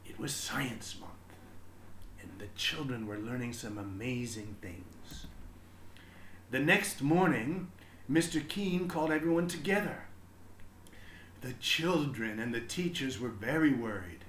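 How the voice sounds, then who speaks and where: A man reading a children's story aloud as expressive narration, with deliberate, dramatic phrasing. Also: medium pitch, reverberant, a little hiss or hum. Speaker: storybook reader.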